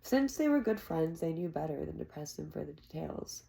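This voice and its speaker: A woman with an American accent reading a fantasy novel aloud as expressive scripted narration. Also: low-pitched, normal speed, gravelly. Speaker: amateur audiobook reader.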